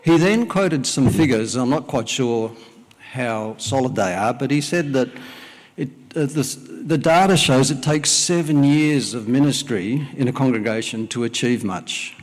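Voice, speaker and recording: Welsh-born man preaching in an echoing hall. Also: mid-range voice. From Wales. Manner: plain, unhurried, with frequent "uh" pauses. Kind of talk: sermon with prayer. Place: church service. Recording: long hall reverb.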